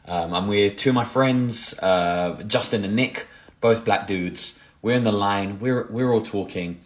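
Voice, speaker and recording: A man telling a casual story to camera in a slow, laid-back way. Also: lowish voice. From London.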